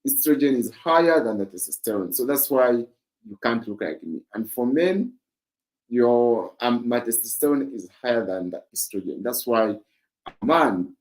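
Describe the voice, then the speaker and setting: A deep-voiced man hosts a fitness livestream. His pitch is ordinary for a man, and he explains things plainly in a casual way, with the odd filler. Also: animated, moderate pace, a little mellow, poor audio. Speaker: livestream host.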